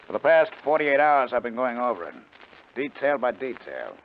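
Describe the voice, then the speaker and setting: Ruthless gang boss, very animated pitch, insistent, confident, 1950s radio drama, muffled old recording. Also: male voice, moderate pace, slight background noise. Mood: deliberate, scheming. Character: scheming, persuasive male gang leader, coolly ruthless crook. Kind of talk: 1950s radio drama acting, also narrating in first person.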